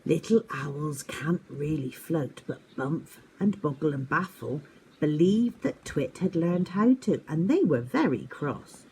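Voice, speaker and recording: A woman's voice: low voice, expressive, unhurried, warm tone, a little hiss or hum. A woman narrating a children's story expressively, as a teacher does at story time.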